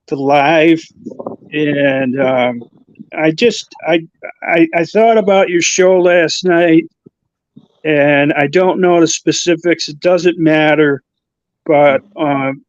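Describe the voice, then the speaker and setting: A man with a faint Chicago accent and a fairly high voice, chatting as a guest. He stops and starts, filling gaps with uhs and restarting his sentences. The audio is muffled and dry, like a remote call. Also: steady tone, no room sound. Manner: halting, full of uhs and restarts. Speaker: guest co-host. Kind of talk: casual conversation.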